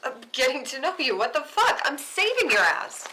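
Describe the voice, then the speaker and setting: A woman's voice: high voice, very animated, normal speed. A woman playing a cocky, defiant, foul-mouthed vigilante at a film script table read, in a noisy live recording. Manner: cocky, flippant, sweary.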